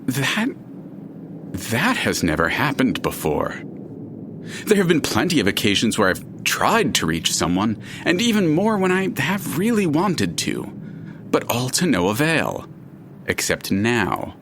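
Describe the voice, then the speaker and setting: A man playing a chatty, curious, all-knowing narrator in an audio drama, with a dramatic and deliberate storytelling manner. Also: medium pitch, wildly swooping pitch, background noise. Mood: astonished. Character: chatty omniscient male narrator, theatrical and curious.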